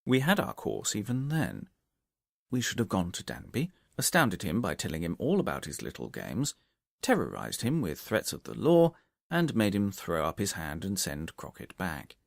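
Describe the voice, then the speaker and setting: Man acting a self-assured detective, storybook, precise manner, wide expressive intonation, dry sound. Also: lowish voice, normal speed, gravelly, no echo, heavily acted. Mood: confident, explanatory. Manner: precise, methodical, confident. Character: shrewd, self-assured gentleman detective explaining his methods.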